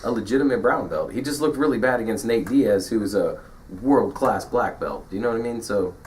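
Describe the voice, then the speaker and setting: A man co-hosting a podcast in casual chat, laid-back and quick to agree, sometimes acting out what a fighter might say. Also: on the low side, expressive, a little mellow. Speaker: podcast co-host. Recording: voice a bit far from the mic, faint hiss.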